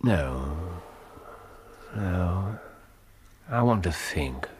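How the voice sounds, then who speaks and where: An actor playing a brilliant, excitable British gentleman detective in a radio drama, wry and theatrical. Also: low-pitched, very slow, full character voice. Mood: subdued. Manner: theatrical, crisp storytelling, wry.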